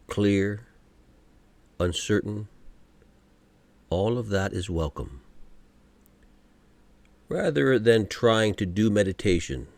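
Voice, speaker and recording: A man guiding a meditation from a script in a gentle, soothing, unhurried manner, pausing often between short phrases. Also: sits low, no room sound. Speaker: meditation guide. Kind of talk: guided meditation, scripted narration.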